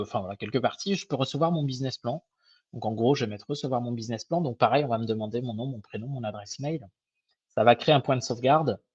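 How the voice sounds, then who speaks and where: A young man with a slightly hoarse voice gives a casual webinar presentation as an advisor, hesitant and informal, with many fillers and restarts. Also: mid-range voice, dry recording. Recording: dull, lo-fi web call audio.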